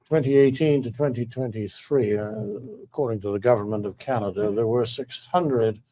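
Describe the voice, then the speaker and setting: Mellow-voiced male interview host. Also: medium pitch, slight background noise. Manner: halting questions with restarts. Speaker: podcast host.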